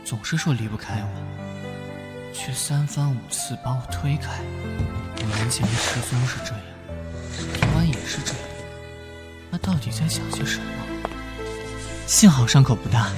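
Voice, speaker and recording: A man acting as an earnest, kindly cartoon detective. His voice sits at a middle pitch and sounds caring and thoughtful, with music clearly behind it. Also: normal speed, pauses often, natural voice. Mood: musing, caring. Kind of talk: animated drama acting with inner monologue.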